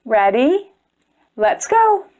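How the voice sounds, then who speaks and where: A woman's voice: high-pitched, big pitch swings, extremely slow, a little mellow. A woman hosting a children's storytime, reading aloud expressively and speaking directly to young listeners. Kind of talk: children's read-aloud narration.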